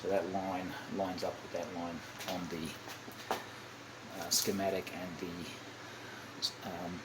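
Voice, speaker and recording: A man giving a casual, unscripted hands-on demonstration, halting and thinking aloud as he goes, often correcting himself. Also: low-pitched, little pitch movement. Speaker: hobbyist demonstrating a kit build. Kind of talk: casual unscripted how-to narration. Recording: clearly noisy amateur home recording.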